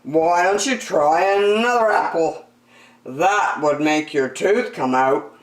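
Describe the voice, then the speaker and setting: A woman voicing a calm, matter-of-fact father who talks slowly and deliberately. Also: fairly low pitch, roomy sound. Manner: slow, steady and deliberate.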